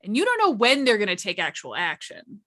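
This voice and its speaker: A woman chatting as a workshop panelist, explaining things casually and thoughtfully with pauses mid-sentence. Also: mid-range voice, huge pitch swings, pushed and bright. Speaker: workshop panelist and game storyteller.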